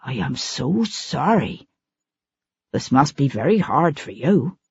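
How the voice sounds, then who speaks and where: A narrator puts on a mild, self-effacing gentleman with a soft, slightly husky voice, lower than the storytelling voice. He sounds hesitant and apologetic, letting his lines lift like questions. The recording is dull and dry. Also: lively intonation, a little slow, no room sound. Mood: meek, diffident. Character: ex-soldier man posing as a timid, humble gentleman. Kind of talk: audiobook character voice.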